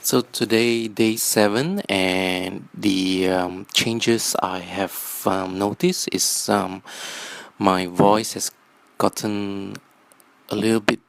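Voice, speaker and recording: Slow, gravelly young man vlogging. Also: lowish voice, fairly even intonation. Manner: halting, many ums and restarts. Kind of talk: casual vlog monologue.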